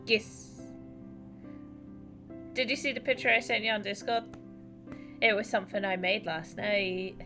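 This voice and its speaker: A woman's voice: medium pitch, unhurried, long pauses, music in the background. A woman live streamer chatting casually and off the cuff while she plays a game.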